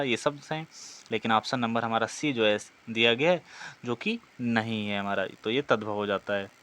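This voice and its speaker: A man's voice: medium pitch, a bit bright. A man teaching an exam practice lesson, reading out questions and explaining the answers in a didactic way, often asking and answering himself.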